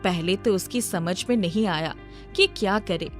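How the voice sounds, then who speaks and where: A woman's voice: fairly low pitch, measured pace, soft background music. A woman narrating a scripted storybook tale.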